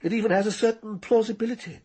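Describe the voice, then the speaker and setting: An actor playing an arrogant, cold-blooded older knight in a radio drama, precise and condescending. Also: male voice, sits high, steady tone, fast talker, a little mellow, slightly rough. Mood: cool, dismissive. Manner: condescending, precise, coolly menacing.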